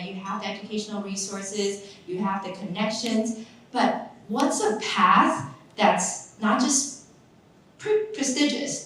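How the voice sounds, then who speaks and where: A woman's voice: mid-range voice, slight background noise. A woman in her thirties from Taiwan giving a chatty conference talk, full of fillers and restarts. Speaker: summit speaker, nonprofit founder. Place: large echoey conference hall. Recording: echoey hall, voice from far off.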